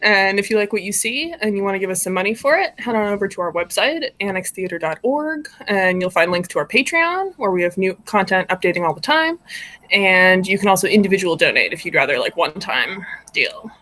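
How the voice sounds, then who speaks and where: A woman's voice: medium pitch, animated, a little fast, a bit bright. A woman hosting a live stream in a casual, chatty and giggly way, speaking over a video call.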